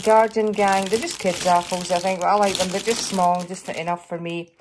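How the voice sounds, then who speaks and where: A middle-aged Scottish woman chatting casually over a grocery haul in her home kitchen, rambling a little, slightly hoarse. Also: on the low side, fairly even intonation, fairly quick, noisy background. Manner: chatty, rambling, with fillers and small laughs. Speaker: vlogger showing a grocery haul. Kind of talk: casual chat to camera. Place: kitchen counter at home, indoors.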